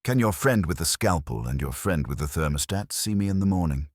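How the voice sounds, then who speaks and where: A man's voice: sits low, expressive, brisk, no room sound, studio quality. A man playing a confident, wisecracking private investigator's assistant in an audiobook, wry and punchy. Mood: wry, brisk.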